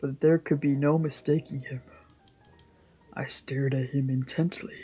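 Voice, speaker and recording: A woman's voice: very deep voice, fairly even intonation, measured pace, darkish tone, breathy edge. A woman streamer reading visual novel narration aloud on a let's play. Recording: music behind the voice.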